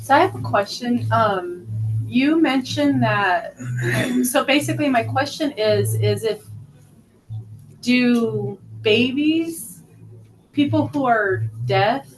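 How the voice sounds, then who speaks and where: Slow, halting woman asking a question. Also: a little high, noisy background. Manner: halting, searching for words. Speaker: class attendee asking a question.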